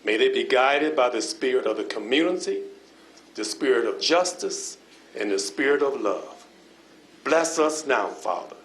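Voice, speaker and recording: Solemn pastor praying in a roomy chamber. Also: male voice, medium pitch, expressive, a bit bright, a little hiss or hum. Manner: measured, solemn cadence with repeated phrases. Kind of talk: spoken prayer. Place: council chamber.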